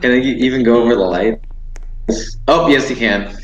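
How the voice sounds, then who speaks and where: A man's voice: mid-range voice, normal speed, a crowd in the background. A man chatting casually as he learns a game, recorded over a dull, roomy voice chat.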